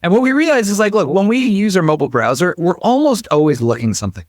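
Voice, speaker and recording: A man's voice: high-pitched, big pitch swings, quick pace, no room sound, clean studio sound. A man speaking as a company founder and interview guest, explaining conversationally and emphatically, often dropping in "like" and "you know".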